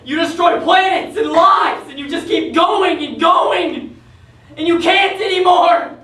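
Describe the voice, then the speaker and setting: Echoey distant stage recording, young woman as desperate little boy, very high voice, slow delivery, grieving. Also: a bit bright, raised voice, some chatter behind. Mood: furious, desperate. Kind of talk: high school readers theatre acting.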